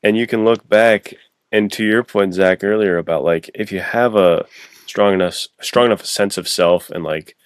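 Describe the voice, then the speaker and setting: A man's voice: lowish voice, moderate pace, clean tone, no room sound, clean studio sound. A man co-hosting a podcast in casual, slangy conversation, often acting out imagined remarks. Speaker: podcast co-host.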